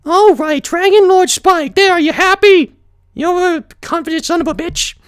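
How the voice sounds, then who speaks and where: Woman voicing a frazzled young unicorn mare, very high and strained, exasperated, dry close sound. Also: very animated, normal speed, a little mellow, no room sound. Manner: exasperated, shrill outbursts. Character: frazzled young female unicorn pony scholar. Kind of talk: fan story read aloud with plushies.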